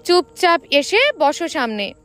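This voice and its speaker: A woman's voice: sits high, animated, unhurried, fairly bright tone, faint music behind. A woman narrator voicing a stern, bossy young male love interest in an audio story, curt and teasing. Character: stern, bossy, secretly smitten young man.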